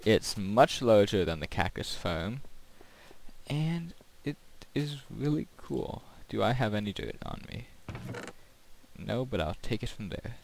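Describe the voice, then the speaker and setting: A man's voice: fairly low pitch, sing-song, unhurried, gravelly, slight background noise. A man casually talking through his builds and play as a gaming video host, in a rambling commentary.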